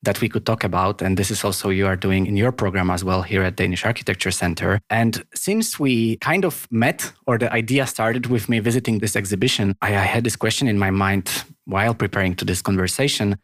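A man with a Polish accent, hosting a podcast interview in a thoughtful, halting way. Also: on the low side, a bit bright, no room sound, studio quality. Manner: thoughtful, halting, with repeated words. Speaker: podcast host. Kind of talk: casual interview conversation.

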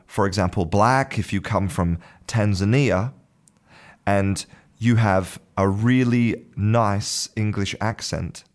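A man with a low voice gives an explanatory video lesson on English. He speaks slowly and deliberately, often restarting phrases as he thinks. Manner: measured, repeats words while searching for them. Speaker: English pronunciation teacher.

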